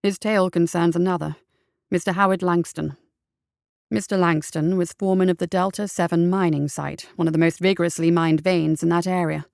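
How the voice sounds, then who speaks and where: Woman reading as a weary, creaky-voiced private investigator. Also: on the low side, fairly even intonation, a little mellow, no echo, clean studio sound. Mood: sombre. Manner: formal, literary, sombre and measured. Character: weary, haunted private investigator writing home to his wife. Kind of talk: audio drama letter narration.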